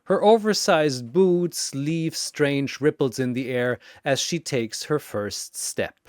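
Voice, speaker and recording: Theatrical narrator, arena announcer, scripted battle story, smooth clean voice, dry close sound. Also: slow pace, no echo. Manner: theatrical, booming announcements alternating with hushed storytelling.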